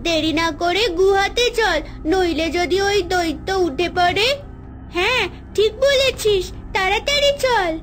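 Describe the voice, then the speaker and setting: A squeaky little cartoon girl, impatient n excitable, music in back. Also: unhurried. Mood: excited. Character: excitable young girl adventurer in fairy tale. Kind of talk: cartoon fairy tale dialogue. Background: background music.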